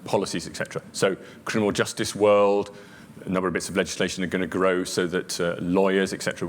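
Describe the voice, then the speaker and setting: Very low male voice, deliberate conference speaker, echoing hall, faint hum. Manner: deliberate, hesitant with fillers. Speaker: police chief superintendent. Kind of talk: conference presentation.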